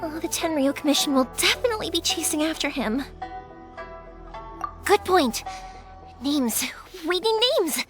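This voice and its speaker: A woman playing a cheerful, caring and determined young heroine in an anime fantasy game, expressive and friendly. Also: sits high, unhurried, long pauses, music in the background. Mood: concerned, determined. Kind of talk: English anime game voice acting.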